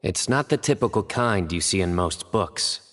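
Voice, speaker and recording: Game role: stern young male detective, low-pitched, measured, formal, deadpan, reverberant sound. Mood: businesslike. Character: serious, composed young male investigator, former student of scholar. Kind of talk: video game voice acting.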